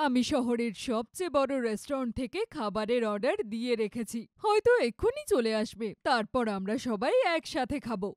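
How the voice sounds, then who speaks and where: A woman's voice: fairly high pitch, expressive, brisk, hardly any pauses, no echo, studio quality, lightly acted. A woman playing a boastful, condescending, middle-aged rich mother-in-law in a cartoon story, with a showy, theatrical way of talking.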